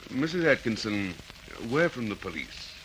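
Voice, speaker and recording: An actor playing a methodical, courteous police detective in an Australian accent, in classic radio drama style. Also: male voice, mid-range voice, very animated, normal speed, background noise, lightly acted. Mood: polite, reassuring.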